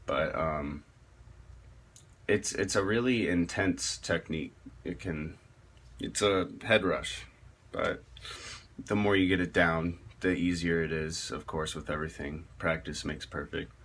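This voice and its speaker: A man with a low voice gives a casual tutorial on metal vocals. His tone is bright and forward even at that depth, and he speaks slowly. He rambles, restarts phrases and leans on fillers as he explains. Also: slight background noise. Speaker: metal vocalist teaching screaming technique.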